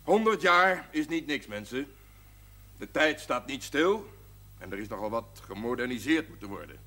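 A man's voice: medium pitch, animated, moderate pace, bright, forceful tone. An actor playing a proud, jovial brewery man giving a declamatory, folksy speech to the workforce in a television drama. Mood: earnest, announcing. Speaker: actor in a Dutch television series. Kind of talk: celebratory speech to assembled brewery staff.

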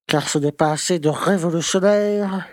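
An actor playing a pompous, grumbling conservative small-town mayor who lectures and scolds in a French audio drama. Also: male voice, sits high, normal speed, a little mellow, no room sound, studio quality, lightly acted.

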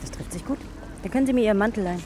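A woman's voice: mid-range voice, noisy background, lightly acted. An actress playing a self-assured, teasing young working woman in a naturalistic German radio drama. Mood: cool, teasing. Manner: teasing, quick-witted. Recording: studio drama with loud music bed.

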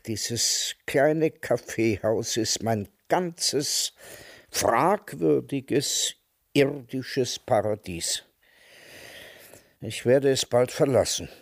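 A slow, sardonic old intellectual. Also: male voice, medium pitch, sing-song, dry recording, clean studio sound, exaggerated voice. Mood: melancholy, wry. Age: older. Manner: deliberate, ironic, literate. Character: sardonic, melancholy old coffeehouse intellectual, widowed and lonely. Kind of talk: radio drama acting.

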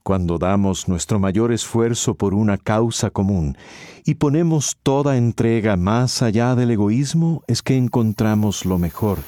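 A man's voice: lowish voice, measured pace, warm tone, no echo, studio quality. A man with a Central American accent, narrating a radio drama from a script.

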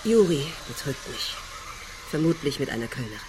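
Low-voiced cool betrayed wife. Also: female voice, sing-song, moderate pace, noisy background. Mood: guarded. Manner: pointed, sceptical. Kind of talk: naturalistic radio drama acting.

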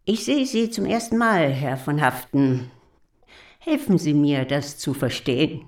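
An actress playing a proud old German countess in a radio drama, gravelly, formal and indignant, grieving for her monarchy. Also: deep voice, expressive, measured pace, darkish tone. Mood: pleading, weary. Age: older.

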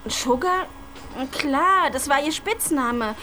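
A breezy, trendy young hairdresser. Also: female voice, high-pitched, animated, slow, pushed and bright, slight background noise, full character voice. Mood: chatty. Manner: breezy, slangy, mixing in English words. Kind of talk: radio crime drama acting.

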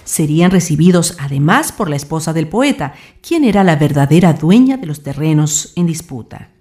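A woman's voice: low voice, very animated, moderate pace, darkish tone, a little hiss or hum. A woman reading scripted narration for a radio drama.

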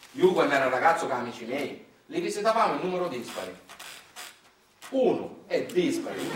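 A man's voice: a little high, fairly bright tone. A man acting a nostalgic, chatty small-town Sicilian storyteller, speaking in a folksy, conversational stage monologue with dialect and a Sicilian accent. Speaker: stage actor performing a monologue. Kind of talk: colloquial theatrical storytelling monologue. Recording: roomy, echoing, distant mic, faint noise.

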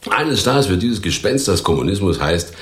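Gravelly, very deep-voiced bitter vagrant. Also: male voice, very animated, normal speed, fairly bright tone, no echo, exaggerated voice. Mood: mocking, ranting. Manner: theatrical, sardonic, crude. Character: bitter, boastful down-and-out former East German actor. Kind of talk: German radio play acting.

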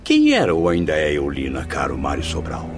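Sardonic quipster for radio drama, low voice with huge swoops, pompous, theatrical. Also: male voice, wildly swooping pitch, exaggerated voice. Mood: mocking. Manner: theatrical, mocking, drawn-out. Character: pompous, sardonic male listener fond of quips. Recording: clear ambient noise behind the voice.

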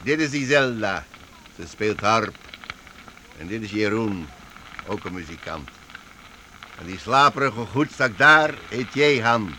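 Travelling master musician: earnest, courteous, mid-pitched, bright voice, faint noise behind. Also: male voice, expressive, unhurried, long pauses, rough recording. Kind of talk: radio play acting.

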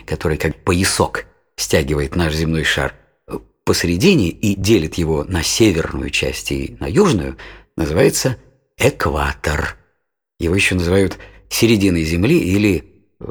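Father, fairly deep, raspy, patient, didactic, measured delivery, educational audio play. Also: full character voice. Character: patient father explaining science to his children.

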